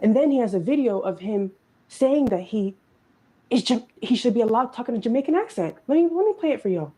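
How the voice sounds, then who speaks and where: A woman giving earnest, emphatic social commentary in a video clip, talking in quick bursts. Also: a little high, very animated, warm tone, breathy edge, low-quality recording.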